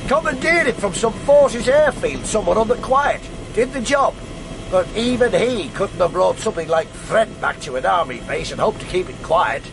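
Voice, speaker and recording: An English actor playing a world-weary, gruff middle-aged detective inspector in a radio drama, dry and clipped. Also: male voice, high-pitched, expressive, moderate pace, background noise. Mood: brisk, musing. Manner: dry, clipped, colloquial copper's talk. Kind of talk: BBC radio drama acting.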